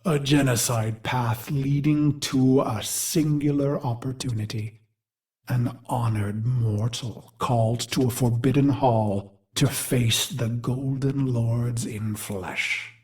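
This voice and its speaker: Guy voicing a grim old-war fighter turned game machine, slow and theatrical, mid-pitched, brooding over his brutal past. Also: steady tone, darkish tone, close to an everyday voice. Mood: bitter, confessional. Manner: grave, theatrical, deliberate phrasing. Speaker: game character narrator. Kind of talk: dramatic scripted game monologue.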